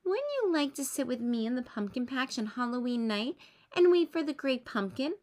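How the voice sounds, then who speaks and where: An earnest little boy from a storybook, played by a woman reading aloud. He is eager and utterly sincere, full of hope. The voice is high and very lively. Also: normal speed. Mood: hopeful. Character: earnest, hopeful little boy, a true believer.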